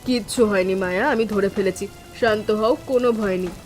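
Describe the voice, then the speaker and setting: A woman playing a caring elder sister-in-law in an animated family drama, speaking in a reassuring, gently instructing manner. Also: mid-range voice, fast talker, natural voice. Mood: soothing. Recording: music bed with rain sounds.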